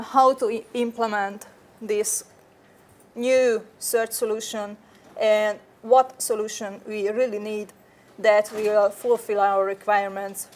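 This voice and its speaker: A woman's voice: mid-range voice, darkish tone. A woman from Hungary with a Hungarian accent, presenting a technical talk at a conference in an earnest way, often restarting her phrases. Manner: earnest, frequent restarts and fillers. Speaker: conference speaker.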